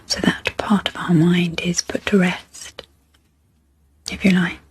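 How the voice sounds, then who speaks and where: A woman with a soft, breathy, fairly low voice speaks in a gentle ASMR style. Her delivery is very slow, broken into short phrases with pauses. The voice often slips into a near whisper, as in a guided sleep meditation. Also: little pitch movement. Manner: gentle, unhurried, pausing between short phrases. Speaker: host, ASMR psychologist.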